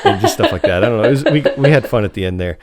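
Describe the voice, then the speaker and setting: A man's voice: mid-range voice, wildly swooping pitch, racing, a loud crowd. A man hosting a podcast in a casual, informal and slightly rambling chat style. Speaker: podcast host.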